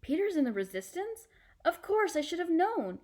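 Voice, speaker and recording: A woman's voice: extremely high pitch, sing-song, normal speed, darkish tone, voice pushed up. A woman voicing an earnest, curious young girl in a storybook, eager and questioning. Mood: surprised.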